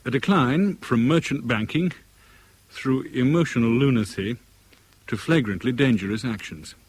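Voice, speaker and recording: An actor playing a wry, orderly British banker in his forties in a 1970s radio drama, measured, formal and articulate. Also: mid-range voice, close to an everyday voice. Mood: wry, reflective. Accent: British English. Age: 40s. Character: wry, orderly forty-year-old sacked merchant banker, male. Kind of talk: 1970s British radio drama acting, first-person narration. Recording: 1970s radio recording, faint background noise.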